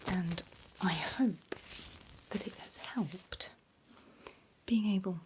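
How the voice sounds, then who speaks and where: A middle-aged woman whispering breathily in a gentle, reassuring ASMR medical exam roleplay, playing a doctor giving close personal attention. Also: fairly low pitch, slow, background noise.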